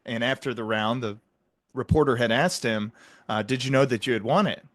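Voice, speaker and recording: A man's voice: medium pitch, normal speed, fairly bright tone, no room sound. Man hosting an interview, dry close sound. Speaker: podcast host, interviewer. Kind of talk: interview conversation, retelling examples.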